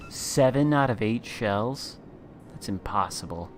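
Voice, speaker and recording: A man chatting casually as a guest while playing a naval battle game, talking in a smooth late-night radio voice. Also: on the low side, lively intonation, slow, a little hiss or hum. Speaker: guest content creator. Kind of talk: casual gameplay chat.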